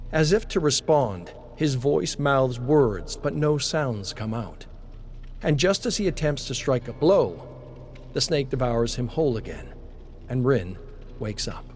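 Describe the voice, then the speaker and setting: Male story narrator over music. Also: medium pitch. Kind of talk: scripted dramatic story narration.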